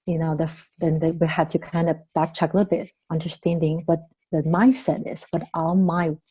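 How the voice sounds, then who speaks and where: A woman's voice: deep voice, little pitch movement, fairly quick, warm tone, breathy edge, low-quality recording. A middle-aged woman from Taiwan who works as a therapist, explaining ideas thoughtfully with many fillers and checking "right?" questions. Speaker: therapist, podcast guest. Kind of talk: casual interview answers.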